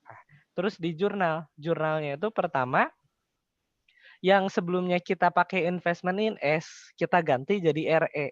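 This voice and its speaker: A young man with a slightly high voice teaches in a casual, explanatory way. He poses questions and answers them himself. The recording is a little dull. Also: moderate pace, no room sound. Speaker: accounting tutor. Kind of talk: informal explanatory tutoring.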